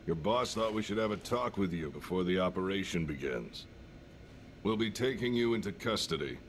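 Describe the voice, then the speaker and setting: A man's voice: low-pitched, animated, normal speed, a little hiss or hum. A man playing a cold, businesslike government official in a dramatic video game cutscene. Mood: cool, official.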